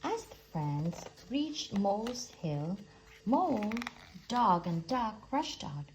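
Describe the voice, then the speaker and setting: A woman reading a children's story aloud in expressive scripted narration. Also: mid-range voice, slow pace, slight background noise, rough recording. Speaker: storybook reader.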